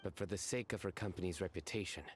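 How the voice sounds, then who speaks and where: Man as a calm, lowish game companion. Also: a little hiss or hum, close to an everyday voice. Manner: measured, thoughtful. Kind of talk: video game character acting.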